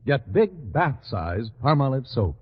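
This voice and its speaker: A male announcer for a 1940s radio commercial. His mid-pitched voice moves expressively over loud music, with a long echoing hall sound. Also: big pitch swings, measured pace, narrow, tinny audio.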